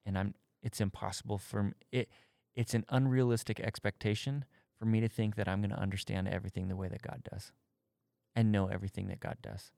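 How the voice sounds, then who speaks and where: A man's voice: fairly low pitch, flat delivery, a little fast, gravelly, warm tone, no echo, clean studio sound. A man in his thirties on a podcast, talking candidly and thoughtfully, searching for his words as he explains his faith. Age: 30s. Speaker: podcast co-host answering faith questions. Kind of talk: casual conversation.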